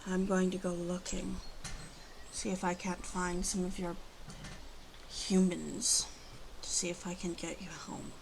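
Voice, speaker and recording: A woman acts out a guarded, proud she-wolf with a gravelly creak in her hushed voice. She speaks haltingly and slips into whispers, protective and gruffly tender in an ASMR roleplay. A faint noisy ambience sits behind her. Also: fairly low pitch, level tone. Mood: soft, reluctant trust. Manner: slow, halting, hushed, often dropping to whispers.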